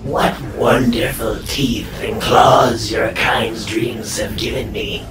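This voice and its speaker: An actor playing a sadistic, gloating nightmare monster in a horror audio drama, hissing theatrically in a menacing way. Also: male voice, lowish voice, level tone, slow pace, raised voice. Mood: gloating menace. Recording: echoing distant voice with music behind. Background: music.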